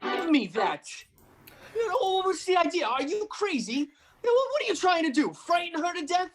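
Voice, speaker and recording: Woman playing a flustered, stammering young husband, swinging pitch. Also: very animated, music in the background. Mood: indignant, pleading. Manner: stammering, halting, exclamatory. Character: anxious, bewildered young husband, easily flustered and indignant. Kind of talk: old-time radio play acting.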